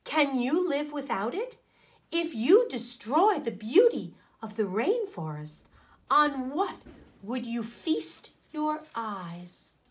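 A woman voicing a languid female sloth with a drawn-out, lazy delivery for a storybook. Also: sits high, very animated, pitched above the performer's own voice, exaggerated voice. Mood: languid, questioning. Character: slow, languid female three-toed sloth.

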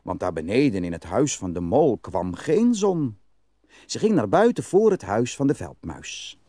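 A man's voice: fairly low pitch, sing-song, measured pace, warm tone, dry recording, studio quality. A man narrating a fairy tale as a scripted storyteller.

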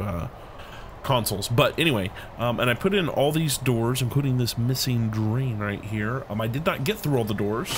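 A man hosting a game let's play, rambling as he thinks aloud and humming to himself, his voice a bit scratchy from being ill. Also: medium pitch. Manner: rambling, thinks aloud, hums filler tunes. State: recovering from illness, a bit scratchy. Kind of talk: casual gameplay commentary.

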